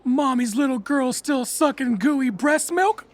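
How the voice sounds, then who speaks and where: A man playing a cocky, foul-mouthed, taunting bully in an audiobook, sneering and jeering in a raspy voice. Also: very high-pitched, unhurried. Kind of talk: audiobook character acting.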